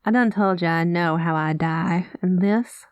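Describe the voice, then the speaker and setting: A woman playing a rogue space pilot in an ASMR roleplay, talking close to the mic in a soft, folksy cowboy drawl that drops into whispers. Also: lowish voice, measured pace, smooth, dry recording, highs cut off. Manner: soft-spoken, slips into whispers. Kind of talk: ASMR roleplay, spoken to the listener.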